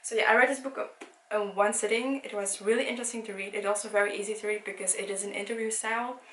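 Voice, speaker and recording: A young Belgian woman who speaks English as a second language, with Dutch as her first, talks through her books in a loose, rambling way, often stopping to correct herself. Her voice sits at a middle pitch. The recording has a roomy echo. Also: fairly even intonation, fast talker, a bit bright, a little hiss or hum. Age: young adult. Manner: chatty, rambling, many restarts. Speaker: book vlogger. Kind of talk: casual book review. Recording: echoey room.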